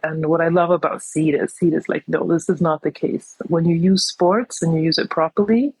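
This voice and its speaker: A woman's voice: deep voice, slightly rough, dry recording, rough recording. A woman from New York, a podcast guest talking in a reflective, conversational way and often saying "you know". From New York City, United States. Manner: reflective, thoughtful, many "you know" fillers. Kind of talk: casual conversational interview.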